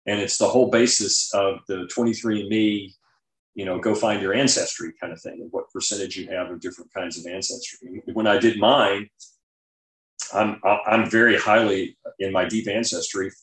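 Low-voiced man explaining in an echoey room. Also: steady tone, moderate pace, a bit bright. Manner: informal, explanatory, with everyday examples. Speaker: guest author and scientist. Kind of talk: conversational interview explanation. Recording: roomy, echoing sound.

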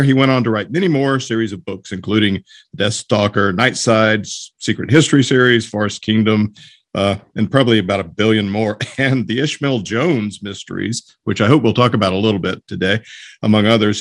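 A man with a fairly low voice hosts a book podcast. He moves between scripted announcements and interview talk in the role of presenter. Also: moderate pace. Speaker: podcast host.

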